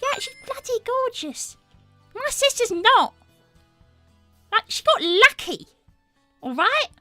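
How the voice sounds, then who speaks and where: Woman voicing a very high, brash British cartoon girl. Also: sing-song, slow, pushed and bright, no room sound, faint music behind, full character voice. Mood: indignant, cheeky. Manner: cheeky, exclamatory, swearing mildly. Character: brash, gossipy cartoon animal girl, young adult. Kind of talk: improvised in-character livestream chat.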